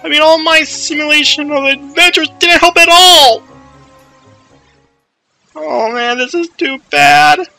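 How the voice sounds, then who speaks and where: A man's voice: very high-pitched, a little slow, vocal fry, pauses often, bright, forceful tone, faint music behind, pitched above the performer's own voice, full character voice, rough recording. A man voicing an anxious boy hero from a video game, boastful yet easily frightened, whiny and nervous. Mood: panicked.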